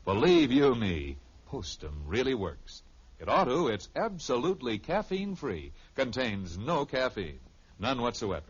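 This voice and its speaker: An American man delivering a folksy, persuasive 1950s radio commercial in a husky voice. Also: medium pitch, huge pitch swings, a little slow. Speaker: commercial pitchman. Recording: dull vintage radio recording.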